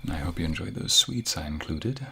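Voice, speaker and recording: Guy doing a loving king asmr roleplay, soft breathy murmurs n whispers, crackly fry, crickets faintly behind. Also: extremely low pitch, lively intonation, a little fast, exaggerated voice. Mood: warm, playful. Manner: tender, hushed, breathy murmuring, often whispering. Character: affectionate, flirtatious fantasy king speaking secretly to lover. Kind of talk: intimate ASMR romantic roleplay.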